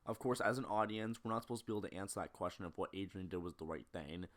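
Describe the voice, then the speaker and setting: A man with a fairly low voice narrates a film analysis video. He is blunt and opinionated, sliding between scripted essay and casual, sweary commentary. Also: racing.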